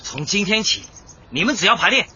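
A man's voice: sits high, lively intonation, brisk, sharp tone, husky, heavily acted. An actor playing an eager, good-natured, boastful young male shopkeeper in a radio comedy, perky and self-satisfied. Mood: eager, conciliatory. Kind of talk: light comedy radio drama acting. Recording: dull lo-fi audio, faint noise.

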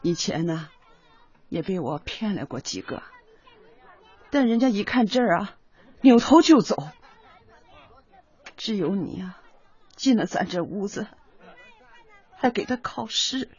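Over slightly muffled audio with music and a background crowd, a middle-aged working-class mother in a radio drama. She is devoted and long-suffering, with earthy, fussing, highly animated speech. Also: unhurried, long pauses, slightly rough. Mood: weary, confiding.

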